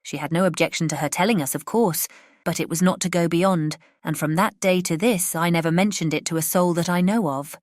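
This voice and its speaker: Actress as scatterbrained British spinster, middle-aged, effusive and rambling, fairly low female voice, dry recording, period novel drama. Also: fairly even intonation, brisk, no room sound, natural voice. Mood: chatty, flustered. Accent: British English. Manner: rambling, effusive, self-interrupting. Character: chatty, scatterbrained, good-natured middle-aged spinster aunt. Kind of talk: dramatized classic novel audiobook.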